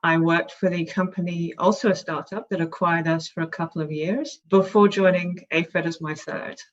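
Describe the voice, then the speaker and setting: A woman's voice: on the low side, fairly even intonation, moderate pace, highs cut off. A woman from England with a London accent, a startup CEO speaking in a composed, articulate way in a podcast interview. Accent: London English.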